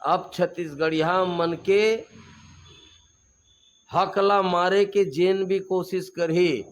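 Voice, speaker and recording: A man's voice: high-pitched, fairly even intonation, slow, muffled recording. A man with a Chhattisgarhi accent, a regional activist giving fiery, emphatic interview answers and repeating slogans.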